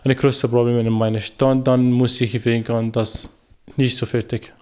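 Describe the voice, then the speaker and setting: Man, twenties, from Iraq, halting learner's German, frequent fillers, radio interview guest. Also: mid-range voice, level tone, darkish tone, smooth. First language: Arabic. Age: 20s. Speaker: guest, former journalist.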